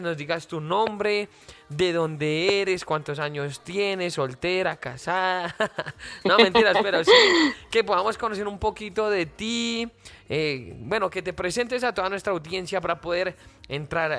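A man's voice: sits high, a bit bright. A man with a Colombian accent hosting a radio show, interviewing a guest in a playful, joking way. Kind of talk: friendly interview.

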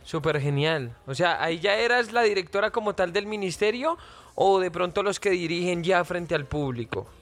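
A man's voice: high-pitched, expressive, moderate pace, fairly bright tone. A man with a Colombian accent hosting a radio show, interviewing a guest in a playful, joking way. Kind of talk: friendly interview.

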